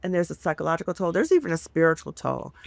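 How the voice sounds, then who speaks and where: A woman's voice: low-pitched, sing-song, quick pace, gravelly, dry recording. A woman in her fifties, a psychologist, explaining earnestly in a casual podcast talk. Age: 50s. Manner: earnest, explanatory, acts out inner voices. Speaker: guest psychologist.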